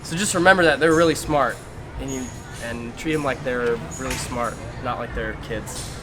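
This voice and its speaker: A man's voice: medium pitch, normal speed. A young man answering interview questions in an easygoing, casual way, laughing now and then. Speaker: interviewee, new English teacher. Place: outdoors in a city. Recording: background music throughout.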